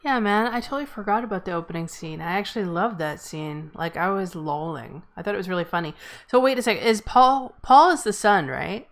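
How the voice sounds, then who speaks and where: A woman's voice: medium pitch, lively intonation, fairly quick. A woman hosting a casual group discussion, chatting in an informal, joking way. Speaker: host moderating a script table read. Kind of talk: casual chat.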